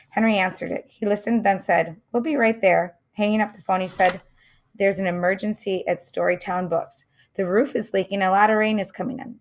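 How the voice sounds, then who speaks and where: Woman, read-aloud storyteller, middle pitch, some gravel in the voice, friendly asides to listeners. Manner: pauses to comment and wonder aloud to listeners. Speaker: teacher reading aloud.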